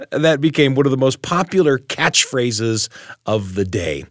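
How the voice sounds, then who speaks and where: A man's voice: medium pitch, lively intonation, slow, no room sound, clean studio sound. A man hosting a show, narrating a story in a dramatic manner with rhetorical questions. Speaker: host.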